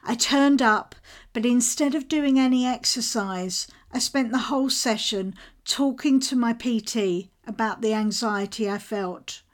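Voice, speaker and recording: A middle-aged woman hosts a show and speaks candidly about her own experience in a measured, reflective monologue. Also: fairly high pitch. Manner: candid, measured, self-disclosing. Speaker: podcast host.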